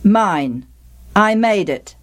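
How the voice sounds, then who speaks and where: A woman's voice: medium pitch, expressive, extremely slow, pitched above the performer's own voice. A woman voicing a hurt, earnest outcast boy in a dramatised story, plaintive in manner. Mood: defiant.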